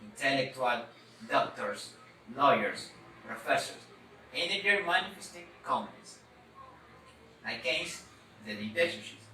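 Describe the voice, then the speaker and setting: A man reads a scripted presentation in halting, word-by-word non-native English. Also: medium pitch, sharp tone. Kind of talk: scripted presentation read aloud. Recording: echoey, slightly noisy room, distant mic.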